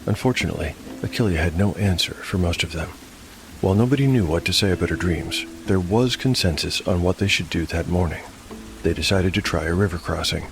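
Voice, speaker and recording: A man narrating a scripted dark fantasy tale as game master, with measured storytelling delivery. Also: sits low, creaky, noisy background. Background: music.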